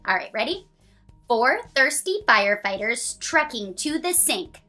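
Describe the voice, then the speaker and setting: Animated, bright-voiced woman reading to children. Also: on the high side, faint music behind. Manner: expressive, sing-song, drawn-out reading to a young child. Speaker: host reading children's books aloud. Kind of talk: children's picture-book read-aloud with counting along.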